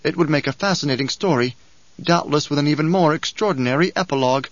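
A man's voice: sits high, moderate pace, no room sound, voice pushed up, close to an everyday voice. A tense, guarded male swordsman, voiced by the narrator, who speaks in clipped, defiant lines. He stays strained and wary in a dry, dull audiobook read. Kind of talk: audiobook character reading.

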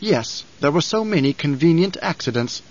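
A man's voice: a little high, expressive, moderate pace. Man voicing a stern, insinuating imperial count, muffled audio. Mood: coolly threatening. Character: stern, probing male count, imperial envoy, veiled threats.

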